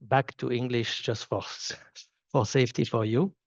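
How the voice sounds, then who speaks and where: Swiss french guy walking through a software demo, lots of repeated words, dry close sound, kinda dull audio. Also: mid-range voice, fairly even intonation, no room sound. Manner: halting, repeats words while clicking through. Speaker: solution architect giving a software demo. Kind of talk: live product demo walkthrough.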